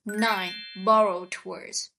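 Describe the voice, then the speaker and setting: A woman co-hosting a scripted English vocabulary podcast whose first language is Vietnamese, reading in a slow, clear way. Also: mid-range voice, flat delivery, sharp tone, slight background noise. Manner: slow, clear, read-aloud delivery. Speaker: podcast co-host.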